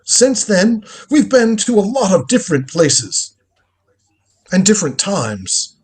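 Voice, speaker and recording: A crotchety old inventor, acted by a man in a radio play, proud and imperious. The voice is high and husky, with theatrical swings in pitch as he lectures like a grand old grandfather. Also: very animated, slow, exaggerated voice. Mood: proud, lecturing. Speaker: lead actor in a live script reading. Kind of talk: live reading of a radio drama script.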